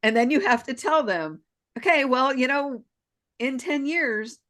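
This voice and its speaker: A woman in her sixties co-hosting a podcast, speaking slowly and thoughtfully, often chiming in with short agreement. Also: sits high, fairly bright tone. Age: 60s. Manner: slow and reflective, lots of agreeing interjections. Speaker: podcast co-host, spiritual healer. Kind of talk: casual chat.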